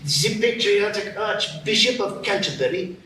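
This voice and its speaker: A man's voice: sits high, lively intonation, unhurried, bright, forceful tone, heavy reverb, far from the mic, slight background noise, full character voice, poor audio. A man doing a pompous little mouse. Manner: self-important, lecturing storyteller. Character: pompous, know-it-all male mouse. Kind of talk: live radio play acting.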